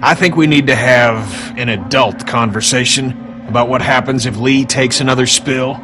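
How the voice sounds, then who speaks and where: An actor playing a hard-headed, pragmatic survivor in a zombie video game, blunt and insistent. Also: male voice, medium pitch, fairly even intonation, moderate pace, over music, natural voice. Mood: grim, pressing. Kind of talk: dramatic video game acting.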